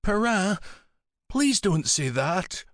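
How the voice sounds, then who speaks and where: A man's voice: sits high, big pitch swings, takes it slowly, a bit bright, no room sound, studio quality, voice pushed up, full character voice. A man voicing a tender, anxious younger woman who speaks in an earnest, emotional and pleading way.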